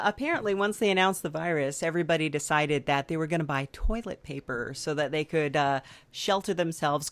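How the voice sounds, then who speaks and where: A woman's voice: lowish voice, no echo. A woman hosting a podcast, interviewing in a dry, playful, rambling way with many fillers. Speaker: podcast host. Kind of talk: improvised comedy interview.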